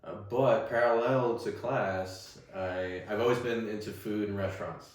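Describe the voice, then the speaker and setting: A man's voice: fairly low pitch, measured pace. Rambling young man, echoey distant mic. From Staten Island, New York. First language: Russian. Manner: relaxed, rambling with many fillers and restarts. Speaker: guest. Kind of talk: casual interview chat.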